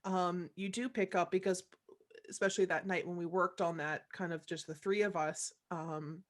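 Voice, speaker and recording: A woman's voice: lowish voice, steady tone, moderate pace. A woman, a voice actor, talking casually in a panel discussion with her castmates. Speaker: voice actor in an audio drama cast.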